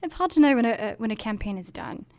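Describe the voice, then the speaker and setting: A woman's voice: a little high, lively intonation, quick pace, gravelly, a little mellow. A woman from Denmark answering interview questions about marketing in a chatty, earnest way, with many ums and likes. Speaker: marketing executive.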